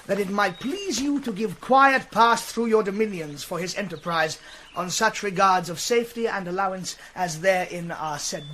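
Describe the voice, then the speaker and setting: An actor playing a formal, dutiful ambassador, giving a declamatory report in a classical Shakespearean drama. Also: male voice, high-pitched, pushed and bright, slight background noise, full character voice.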